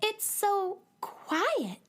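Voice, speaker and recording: A woman's voice: extremely high pitch, wildly swooping pitch, extremely slow, a bit bright, full character voice. A woman playing a kind, curious young space heroine in a children's radio drama, polite and earnest. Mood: worried wonder.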